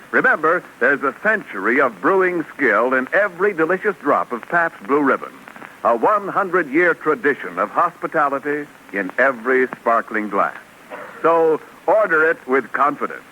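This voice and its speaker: A man who is an announcer, reading a scripted radio commercial in a smooth, persuasive pitchman manner, on a muffled old broadcast recording. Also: bright, forceful tone, a little hiss or hum.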